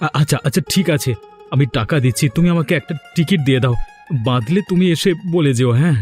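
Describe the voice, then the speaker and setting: Man acting naive, earnest cartoon son, highish voice. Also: fast talker, a little mellow, soft background music, close to an everyday voice. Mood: naive, hopeful. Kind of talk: animated story dialogue acting.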